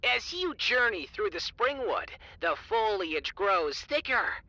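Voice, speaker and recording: A woman acting as game master in a comedy audio drama, narrating a tabletop game in a slow, drawn-out descriptive way. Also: medium pitch, very animated, pushed and bright, no room sound, highs cut off, exaggerated voice.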